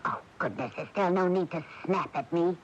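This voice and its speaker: An actress playing a naive, fretful elderly sister with a prim, fluttery way of talking, in a radio drama. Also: female voice, on the low side, a little fast, natural voice. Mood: mild, fluttery. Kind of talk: 1980s radio drama acting. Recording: dull, hissy 1980s AM radio recording.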